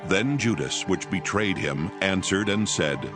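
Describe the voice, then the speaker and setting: A man's voice: low-pitched, lively intonation, measured pace. A man giving a scripted narration of scripture in archaic English. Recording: voice over prominent music.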